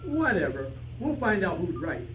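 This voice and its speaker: A man playing an excitable, argumentative, stubborn detective in an old-time radio style stage play. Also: high-pitched, normal speed, faint music behind. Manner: indignant, argumentative. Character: excitable stubborn male detective searching for his lost canary. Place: live rehearsal before an audience. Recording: echoey room, distant mic, faint noise.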